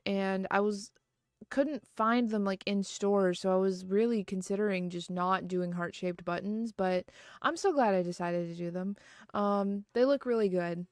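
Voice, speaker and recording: A woman's voice: mid-range voice, smooth, no echo. Woman streamer, mid-range voice, loose chatty rambling full of "um" and "like", dry close sound. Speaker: livestreamer. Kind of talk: casual chat.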